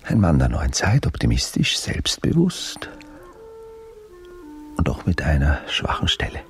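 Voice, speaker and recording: An actor playing a brooding army officer and baron in a radio play, speaking in a measured, weary, often hushed manner. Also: male voice, a bass voice, huge pitch swings, husky, soft background music, heavily acted. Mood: weary, ironic. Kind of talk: radio play narration and dialogue.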